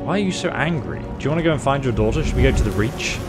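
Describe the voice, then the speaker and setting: A man narrates his own run through a fantasy game, chatty and quick to exclaim when the fighting starts. His voice sits at an ordinary mid pitch, with loud game music running beneath it. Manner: excitable, exclaiming and role-playing in combat. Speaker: gaming YouTuber. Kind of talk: let's-play commentary.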